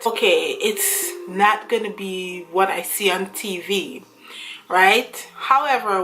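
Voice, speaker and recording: A woman's voice: medium pitch, poor audio. Earnest woman vlogging. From Guyana. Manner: earnest, chatty, reads from notes. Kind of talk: casual talk to camera. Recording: music playing under voice. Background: background music.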